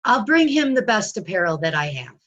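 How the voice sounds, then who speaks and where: A woman's voice: medium pitch, big pitch swings, fairly quick, a bit bright, dull audio. A woman playing an anxious, kindly, loyal elderly tenant in a Shakespeare reading. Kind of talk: remote readers' theatre Shakespeare performance.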